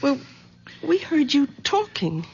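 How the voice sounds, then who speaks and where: An actress playing a protective grown daughter, earnest and pleading, in an old-time radio drama. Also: female voice, high-pitched, sing-song, slow, exaggerated voice. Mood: probing. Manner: earnest, pleading, gently insistent. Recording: dull lo-fi old radio recording.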